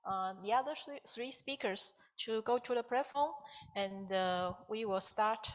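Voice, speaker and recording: A woman chairing a conference session, introducing a speaker and moving to questions, in a halting way with repeated words. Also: a little high, in a large hall.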